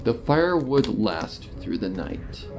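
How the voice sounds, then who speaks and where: A man reading a dark story aloud as a narrator, in a dramatic scripted style. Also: mid-range voice, big pitch swings, takes it slowly, music in the background.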